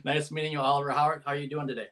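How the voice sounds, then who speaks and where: A man's voice: fairly high pitch, little pitch movement, racing, a bit bright. A man hosting a live-streamed interview over a video call, friendly and chatty, with many fillers and restarts. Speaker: interviewer, host.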